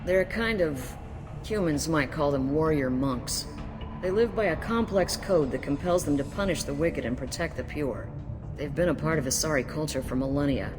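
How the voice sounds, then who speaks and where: Female alien detective for a game actress, weary, dutiful, dry and plain-spoken, over clear background music. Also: low-pitched, normal speed, close to an everyday voice. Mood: briskly informative. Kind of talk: video game cutscene acting. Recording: music playing underneath.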